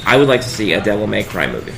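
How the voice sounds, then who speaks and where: A man's voice: fairly low pitch, very animated, racing, gravelly. A man co-hosting a podcast, chatting casually like an enthusiastic gamer. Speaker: podcast co-host. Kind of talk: casual chat. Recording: crowd noise around the voice. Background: crowd chatter.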